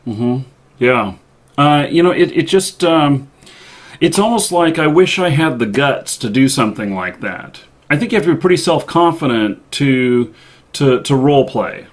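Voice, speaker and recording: Guy hosting a live show, talks slow, lots of ums and mm-hmms. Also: mid-range voice, expressive. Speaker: show host. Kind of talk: casual live interview.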